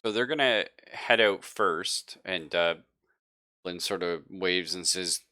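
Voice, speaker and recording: A man with a lowish, bright and forward voice runs a tabletop roleplaying session. He narrates scenes and asks for rolls in a casual, conversational manner, thinking out loud as he goes. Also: slow, no echo, studio quality. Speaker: game master.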